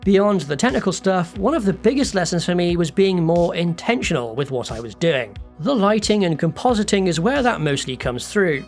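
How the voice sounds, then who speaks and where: A man narrating a scripted making-of video as a digital artist, in a reflective, self-deprecating, conversational way. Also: high-pitched.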